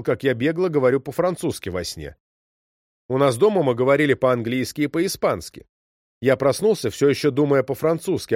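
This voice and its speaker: A man reading out a series of short first-person stories as scripted narration, in a calm, even storytelling manner. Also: medium pitch, dry recording, clean studio sound.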